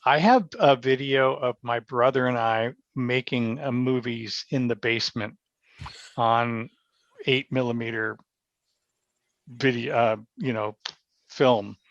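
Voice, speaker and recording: A man's voice: medium pitch, slow, creaky, no echo. An older American man chatting casually and telling anecdotes as a guest in an interview. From small town in New York state, United States. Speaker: podcast guest, actor. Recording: dull, dry, lo-fi sound.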